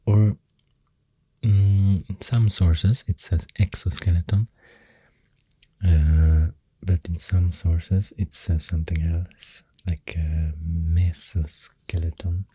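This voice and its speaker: A soft-spoken ASMR host with a Swedish accent, half-whispering in a breathy voice and hesitantly rambling up close to the microphone. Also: low voice, fairly even intonation, no room sound. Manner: hushed, hesitant, pausing mid-thought. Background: pencil writing sounds.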